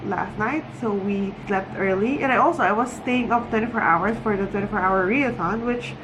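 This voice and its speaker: A woman chats informally to her viewers in a book vlog. Her voice sits at a middle pitch, and her delivery is conversational, rambling and giggly. She records indoors at home, with clear background noise. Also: a little fast. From Philippines. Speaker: book vlogger. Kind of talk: chatty, informal video diary to viewers.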